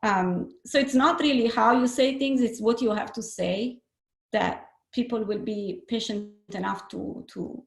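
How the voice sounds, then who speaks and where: Woman, Italian accent, gravelly voice, candid and self-deprecating, echoey room. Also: on the high side, unhurried. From Italy. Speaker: webinar panelist. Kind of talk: casual panel answer.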